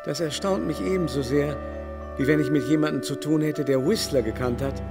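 An actor in a German radio play voicing a vain, haughty older baron with a mannered, theatrical, confiding delivery. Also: male voice, on the high side, over loud music, close to an everyday voice. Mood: lofty, chiding. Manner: mannered, theatrical, drawn-out emphasis.

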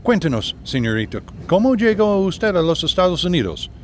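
An actor playing an authoritative US federal officer with a commanding, official manner, in a radio drama. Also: male voice, high voice, big pitch swings, moderate pace, a little hiss or hum. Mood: formal questioning.

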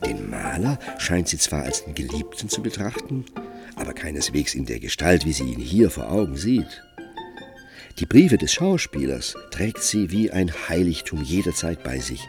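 Low voiced guy narrating a radio drama, literary, really varied intonation, string music behind. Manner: measured, expressive storytelling.

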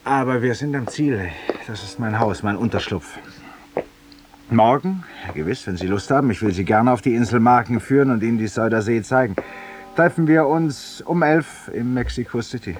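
A male actor playing a weary, ironic, haunted man who confesses to a stranger in a deliberate, courteous, literary monologue, in the style of 1950s German radio drama. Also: mid-range voice, soft background music, natural voice. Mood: courteous, evasive. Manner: literary, deliberate, ceremoniously polite, confiding. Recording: hissy 1950s radio recording, distant voice.

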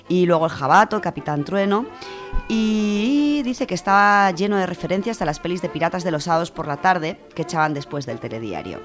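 Radio presenter, female, Spain accent. Low register, colloquial and chatty read, music bed. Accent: Spanish from Spain. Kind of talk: casual radio chat, reading out listener contributions.

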